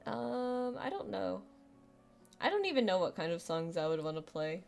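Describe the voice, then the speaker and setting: A woman's voice: mid-range voice, moderate pace, gravelly, darkish tone, soft background music. A young woman with a youthful, girlish voice, chatting casually on a live stream, rambling and self-deprecating with lots of restarts and fillers.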